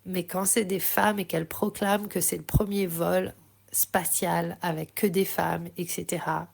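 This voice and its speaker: A woman with a French accent hosting a podcast, sharing a slow, intimate, reflective spiritual monologue. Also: on the low side, level tone, vocal fry. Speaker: podcast host.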